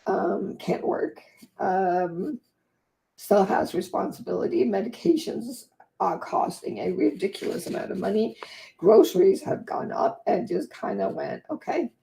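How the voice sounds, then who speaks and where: A woman from Denmark hosting a casual livestream and reading aloud from her own book, her voice croaky and hoarse from a long day of talking, chatty and easily sidetracked. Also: medium pitch, animated, unhurried, warm tone, poor audio. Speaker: vlog host and author.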